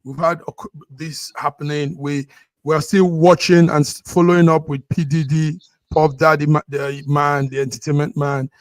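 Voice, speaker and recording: Male news host, fairly high pitch, close dry sound, halting delivery with repeated words. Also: flat delivery, no room sound. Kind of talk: news discussion, unscripted presenting.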